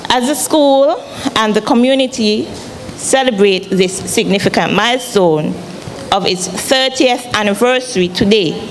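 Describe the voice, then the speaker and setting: A woman's voice: mid-range voice, slight background noise, rough recording. A woman delivering a formal prepared speech as a ministry representative.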